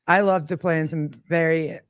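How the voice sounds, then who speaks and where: A woman's voice: low voice, fairly even intonation, moderate pace, a crowd in the background. A woman in her forties hosting a casual chat, joking, swearing freely and laughing often. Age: 40s.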